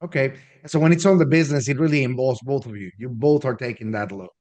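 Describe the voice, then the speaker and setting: A man's voice: mid-range voice, fast, darkish tone. A man speaking as the host of a business interview, in a conversational way.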